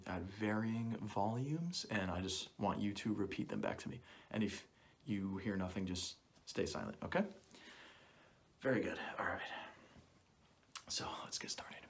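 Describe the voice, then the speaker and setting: A young man speaking softly through a cloth mask in an ASMR roleplay as an examiner, often dropping into whispers and repeating short phrases close to the mic. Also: lowish voice. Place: small plain indoor room. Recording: clearly noisy home recording with clicks.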